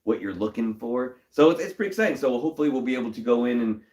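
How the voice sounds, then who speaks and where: A young man hosting a casual comic-book live stream, a little hoarse from a cold, greeting viewers by name in an upbeat way. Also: medium pitch, rapid-fire, a little mellow. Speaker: host.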